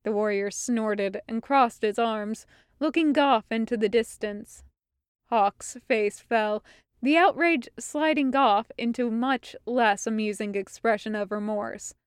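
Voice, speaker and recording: A woman's voice: a little high, takes it slowly, clean tone, dry recording, clean studio sound. A woman reading a scripted story as an audiobook narrator. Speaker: fan fiction audiobook reader.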